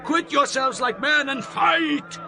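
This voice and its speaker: Man acting as declamatory ancient soldier, high-pitched. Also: takes it slowly, a crowd in the background, noisy background. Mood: rousing. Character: agitated ancient soldier, loud and urgent. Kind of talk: dramatized scripture acting.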